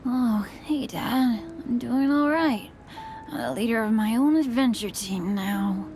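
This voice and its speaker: A woman's voice: a little high, unhurried, music in the background. A woman voicing a good-natured, earnest, boyish young male adventurer in a video game. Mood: sleepy. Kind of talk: video game character acting.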